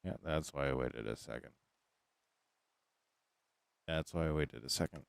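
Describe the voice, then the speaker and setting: A man with a deep, low voice chatting casually as a game streamer, musing and repeating himself as he thinks aloud. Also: unhurried, creaky, smooth, no echo. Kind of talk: casual chat while playing.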